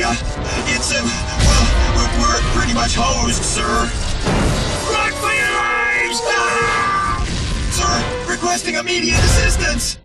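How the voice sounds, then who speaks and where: Man as a bumbling soldier over loud music. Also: medium pitch, lightly acted. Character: bumbling, panicky military ranger, dutiful male trooper. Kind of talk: video game cutscene voice acting.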